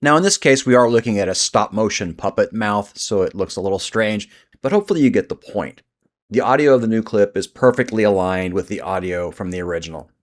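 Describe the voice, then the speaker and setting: A man narrating a scripted software tutorial as its host. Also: on the low side, fairly quick.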